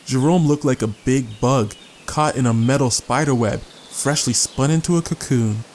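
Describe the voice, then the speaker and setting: A man's voice: mid-range voice, moderate pace, a little mellow, slight background noise. A man narrating a scripted audiobook story as the narrator. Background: guitar music.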